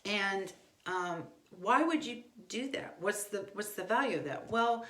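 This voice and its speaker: A woman's voice: medium pitch, animated, measured pace, fairly bright tone. A middle-aged woman gives an informal instructional talk, speaking conversationally and leaning on repeated words for emphasis. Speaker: homeschool instructor. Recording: echoey, roomy sound.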